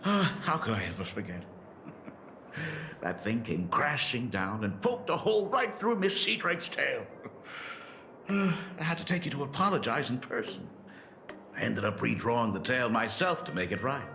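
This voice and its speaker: A man playing a gentle, wistful aging father in a video game, speaking fondly and formally with frequent sighs. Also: mid-range voice, animated, vocal fry. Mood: nostalgic, rueful. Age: older. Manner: sighing, unhurried, fond and formal. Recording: roomy echo, music behind. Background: music.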